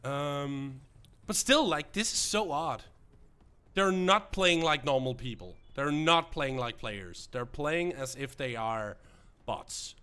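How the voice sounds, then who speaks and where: A man's voice: on the high side, lively intonation, slow, pushed and bright, clear, resonant tone. A young man with a Danish accent, whose first language is Danish, streaming a game and chatting with viewers in a rambling way with many fillers and restarts. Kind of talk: live gameplay commentary, chatting with viewers.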